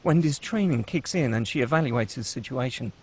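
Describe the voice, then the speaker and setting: A man's voice: mid-range voice, animated, brisk, a little mellow, breathy edge. A man reading screenplay action and scene headings as the narrator of a table read.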